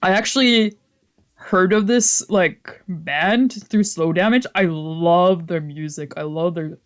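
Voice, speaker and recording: A man's voice: high voice, takes it slowly, fairly bright tone. A man streaming a visual novel, chatting informally and reading aloud, reacting and often correcting himself. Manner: informal, reactive, swearing, trailing off and self-correcting. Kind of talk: casual let's play commentary mixed with read-aloud narration.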